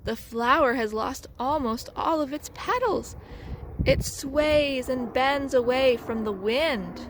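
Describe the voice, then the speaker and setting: A woman reading a children's picture book aloud as a storyteller, in an expressive, deliberate way with dramatic emphasis. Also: sits high, noisy background. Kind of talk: children's read-aloud narration.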